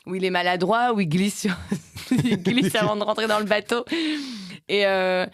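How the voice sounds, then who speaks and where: A woman's voice: mid-range voice, steady tone, moderate pace, no echo, clean studio sound. A woman in her late thirties, an actress and producer, talking casually in an interview, rambling with many restarts. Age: late 30s.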